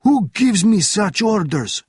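A man voicing a flustered, helpless army captain in an audiobook thriller, protesting loudly and with agitation. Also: high voice, sing-song, slow, husky, dry recording, studio quality, pitched above the performer's own voice, heavily acted. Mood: agitated, indignant.

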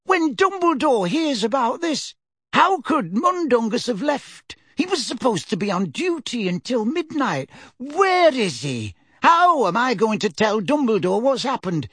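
Fussy old woman, shrill, scolding, swooping high pitch, husky edge, dry close sound. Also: big pitch swings, a little slow, a bit bright, no echo, heavily acted. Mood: fretful. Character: frantic elderly woman neighbor, fussy and scolding. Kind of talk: audiobook character voice.

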